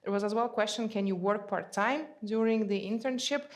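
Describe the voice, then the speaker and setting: A woman's voice: mid-range voice, brisk. A woman hosting a live panel in an office, reading out audience questions and answering practically. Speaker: event host and recruiter. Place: office event space.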